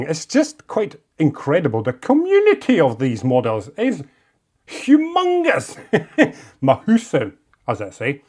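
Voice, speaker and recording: Latvian-accented man, friendly tutorial host, animated voice sitting a bit high. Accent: Latvian, living in Scotland. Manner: chatty and encouraging, coaxing phrases. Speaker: model-building video host. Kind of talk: casual hands-on build tutorial.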